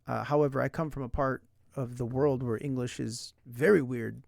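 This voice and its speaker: A man's voice: mid-range voice, fairly even intonation, normal speed, creaky, a little mellow, no echo, studio quality. A young man from San Diego, United States, streaming casually about language learning, rambling with lots of "like" and "right?". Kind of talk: casual livestream chat. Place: indoors at night.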